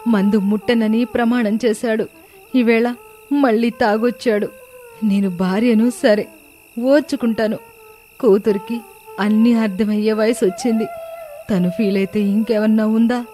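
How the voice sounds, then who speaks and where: Mellow, plaintive long-suffering wife, played by a woman. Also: a little high, fairly even intonation, measured pace, long pauses, faint music behind, natural voice. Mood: tearful prayer. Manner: plaintive, earnest. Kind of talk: dramatised moral story dialogue.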